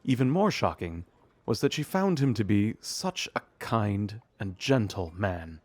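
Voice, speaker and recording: A man narrating a storybook audio drama in a measured storytelling manner. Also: on the low side, very animated, darkish tone, no echo. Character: omniscient storybook narrator of a Christmas tale.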